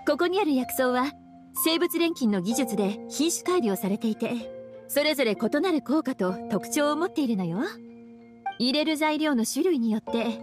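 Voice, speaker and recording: Sultry teasing witch librarian. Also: sits high. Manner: languid, teasing, calls herself big sister. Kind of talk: Japanese game dub.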